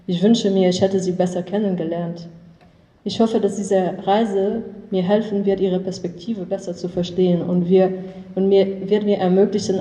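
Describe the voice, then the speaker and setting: A young American woman, a native English speaker, giving a careful, halting memorial speech in a learned language and often correcting herself. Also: fairly low pitch, warm tone. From United States. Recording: echoey hall from far off.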